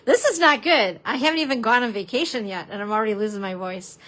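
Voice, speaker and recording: Chatty, slightly hoarse woman teaching crafts. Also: a little high, fast talker, a bit bright. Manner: chatty, giggly, self-deprecating, exclamatory. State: hoarse, losing her voice. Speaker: papercrafting instructor. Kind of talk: live-stream craft demonstration.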